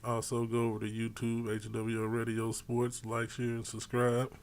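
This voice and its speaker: A man hosting a small sports talk show with a voice of middle pitch. He talks in a brash, boastful way, swearing freely and talking over his co-host. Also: monotone, moderate pace, clear, resonant tone.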